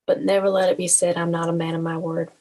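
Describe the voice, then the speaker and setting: A woman's voice: lowish voice, little pitch movement, fast talker, darkish tone, natural voice. A woman playing a stern, aggrieved man from a video game world in a script read, curt and cold. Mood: cold, resigned. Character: stern, resigned, aggrieved male game resident. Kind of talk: animated film script table read.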